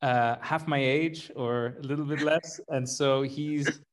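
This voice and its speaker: A man's voice: mid-range voice, steady tone, a little slow. A middle-aged man from Sri Lanka co-hosting a casual podcast, self-deprecating and wry, with many fillers. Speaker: startup co-founder, podcast host.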